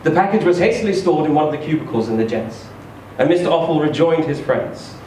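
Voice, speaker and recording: A man reading a short story aloud in an expressive, theatrical, emphatic storytelling style. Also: fairly high pitch, brisk. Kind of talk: expressive live literary reading. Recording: echoey room, distant mic, faint noise.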